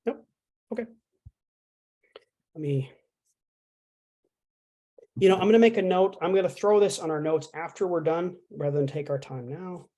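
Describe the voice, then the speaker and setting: Easygoing teacher talking through a lesson, mid pitch, loose and chatty. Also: normal speed, pauses often, dark tone. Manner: chatty, explains with fillers and playful asides. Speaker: language instructor. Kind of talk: casual online language lesson.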